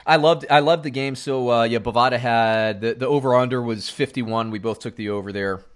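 A middle-aged man from Central Florida co-hosting a casual sports podcast, animated, going from loud outbursts to muttered asides. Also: mid-range voice, fairly quick. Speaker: podcast co-host.

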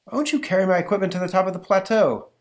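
Guy reading an earnest scientist hero, bit higher voice, comic book. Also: racing, close to an everyday voice. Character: earnest young male scientist.

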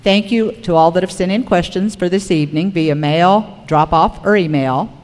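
A woman's voice: lowish voice, normal speed, a little mellow, faint music behind. A woman moderating a public forum, reading prepared material aloud to the room. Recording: reverberant hall recording.

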